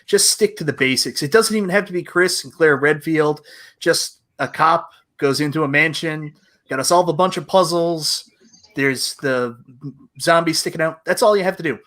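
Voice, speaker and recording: A man co-hosts a casual live-streamed chat, blunt and joking and quick to laugh. His voice sits somewhat high and sounds bright. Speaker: co-host.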